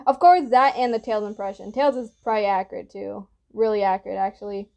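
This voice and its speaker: A woman's voice: mid-range voice, quick pace, vocal fry, mellow. A young woman giving casual reaction commentary as she hosts a video, recorded indoors in a small room. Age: young adult.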